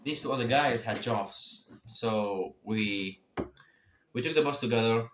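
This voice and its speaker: A man's voice: medium pitch, measured pace. A young man casually telling a long personal story, rambling, swearing often and repeating himself. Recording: echoey room with faint noise.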